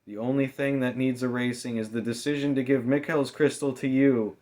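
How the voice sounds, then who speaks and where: A man voicing a furious, menacing young female thief in an audiobook, snapping out forceful threats. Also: medium pitch, steady tone, fairly quick, pitched above the performer's own voice, natural voice. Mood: enraged. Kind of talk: audiobook character dialogue.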